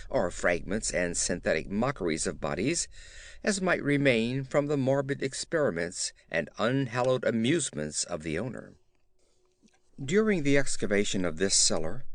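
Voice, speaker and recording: A man narrating a horror story as a dramatic audiobook, in a measured, literary storytelling manner. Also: lowish voice.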